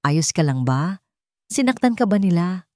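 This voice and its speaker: A woman voicing a protective man in a romantic audio story, calm, tender and resolute. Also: on the low side, measured pace, no echo, studio quality. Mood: tender concern.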